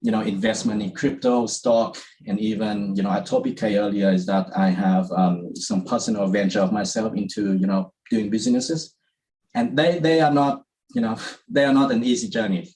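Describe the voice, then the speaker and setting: A man's voice: fairly low pitch, steady tone, moderate pace, a little mellow, low-quality recording. A man from Vietnam, a guest in an interview, who talks casually with many fillers and restarts. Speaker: interview guest, property investor.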